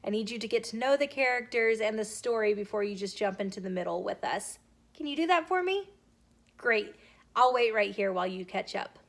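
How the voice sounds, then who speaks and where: A woman reading a children's story aloud in an animated, expressive way, addressing young listeners directly. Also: mid-range voice, a little fast. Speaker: storyteller host. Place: bookshop, with ambient noise. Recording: clear background noise.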